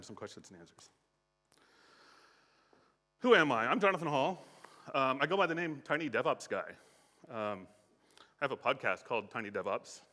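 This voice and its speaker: A man's voice: medium pitch, sharp tone. An American man giving a casual conference talk, with frequent fillers and restarts. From United States. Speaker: conference speaker. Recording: reverberant hall.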